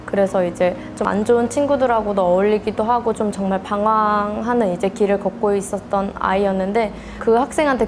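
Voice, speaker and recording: A woman with a medium-pitched voice speaks as a tutor in a testimonial interview. She sounds sincere and reflective, with music plainly audible behind her. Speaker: tutor at an education company.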